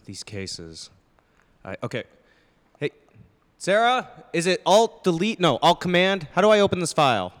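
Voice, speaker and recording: Befuddled doctor for radio comedy, male performer: halting, muttering, very animated pitch, echoey hall sound. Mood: distracted, flustered. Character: absent-minded, befuddled male doctor investigating strange cases. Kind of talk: improvised radio play parody.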